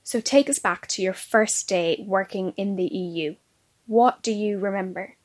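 A woman's voice: mid-range voice, unhurried. A woman hosting an interview series, reading out scripted questions in a friendly, plain way. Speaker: interview host.

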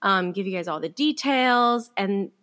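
A woman's voice: medium pitch, animated, fairly bright tone. Young female podcast host, bubbly, laughs often. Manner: bubbly, giggly, quick to laugh. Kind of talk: casual podcast chat.

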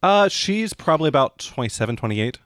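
A man's voice: fairly high pitch, sing-song, a little fast, fairly bright tone, dry recording, clean studio sound. A man narrating a casual, improvised tabletop roleplaying game as game master.

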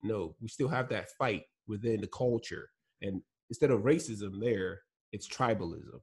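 A man's voice: on the low side, moderate pace, a little mellow, breathy edge. A man from Nigeria whose first language is Igbo, telling his story as a guest in an earnest, easygoing way and laughing between points. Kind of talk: casual interview talk.

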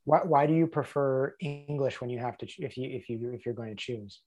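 A man's voice: mid-range voice, a little fast, mellow. Hesitant man asking rambling questions. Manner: hesitant, many restarts and fillers. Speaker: interviewer. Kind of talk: casual interview talk.

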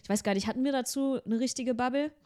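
A woman's voice: high voice, quick pace, warm tone. A young woman co-hosting a podcast in casual chat, rambling with lots of fillers and restarts. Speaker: podcast co-host.

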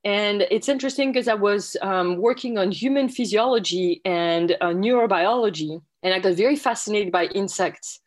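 A woman from France with a French accent, a guest researcher answering interview questions with frequent pauses and fillers. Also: medium pitch. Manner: friendly, many hesitations and fillers.

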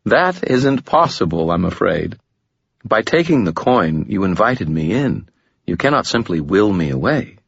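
A poised female demon speaks with formal courtesy and a quiet, amused ease, as a man lifts his voice to play her. The tone sits well above a man's usual range but stays husky. It comes from a dry, slightly dull audiobook recording. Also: on the low side, sing-song, a little slow, no echo, voice pushed up, heavily acted. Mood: gently amused. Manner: gentle, formal, softly amused.

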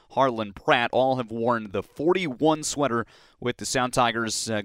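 A man hosting a sports podcast interview, chatty and upbeat, talking quickly with frequent restarts into a close microphone. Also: medium pitch, a bit bright, no echo. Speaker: podcast host and play-by-play broadcaster.

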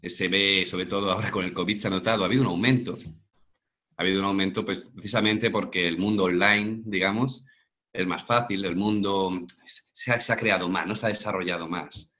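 Deep-voiced man from Madrid. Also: fairly even intonation, a little fast, pushed and bright. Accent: Castilian Spanish from Madrid. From Madrid, Spain. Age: around 40. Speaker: interview guest, professional voice-over artist. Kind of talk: conversational interview answers.

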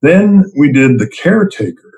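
A man's voice: on the high side, big pitch swings, normal speed, a little mellow, rough recording. Chuckling middle-aged man telling stories. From Princeton, New Jersey, US. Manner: rambling, chuckling, self-deprecating. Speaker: interview guest, theme park event writer and director. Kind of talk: casual storytelling interview.

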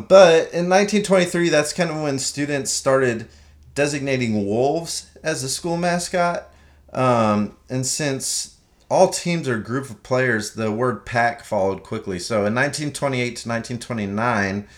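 A man with a faint Southern accent hosts a relaxed sports podcast. He walks his co-host through the background he has dug up, talking loosely with frequent ums and false starts. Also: medium pitch, measured pace. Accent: slight Southern American. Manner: chatty, explains research with many fillers. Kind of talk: casual sports chat.

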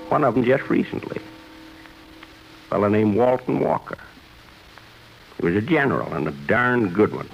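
Creaky-voiced, gentle old man. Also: fairly low pitch, slight background noise. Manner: homespun, fatherly, folksy. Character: departing old year 1950, gentle reflective old man. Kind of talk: 1950s radio drama acting. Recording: dull vintage radio broadcast, music behind.

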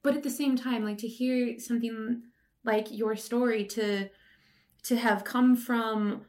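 A woman's voice: on the high side, fairly even intonation, measured pace, a little mellow. Encouraging woman hosting a casual interview. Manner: informal, encouraging, reassuring. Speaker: podcast host interviewer.

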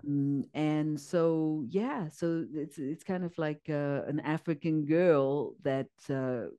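A woman from Zimbabwe speaks as a podcast guest, with a warm, mellow tone. She is earnest and searches for her words, filling her pauses with fillers. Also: sits low, clear, resonant tone, lo-fi sound. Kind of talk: casual interview, personal story.

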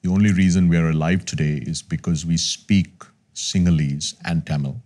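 A man's voice: sits low, steady tone, normal speed, a little mellow. A man in his fifties from Sri Lanka, a podcast guest telling his life story in an earnest, reflective way. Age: 50s. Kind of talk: candid conversational storytelling.

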